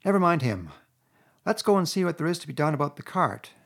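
A man voicing a stern, sensible male water rat who talks firmly and with exasperation, in a husky voice. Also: fairly high pitch, sing-song, fairly bright tone, voice pushed up, heavily acted. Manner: firm, brisk, exasperated.

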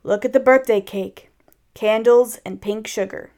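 A woman's voice: on the high side, measured pace, a little mellow, natural voice. A woman voicing a gloomy, self-pitying old male donkey from a storybook, with a mournful, sighing, sarcastic delivery. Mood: gloomy sarcasm.

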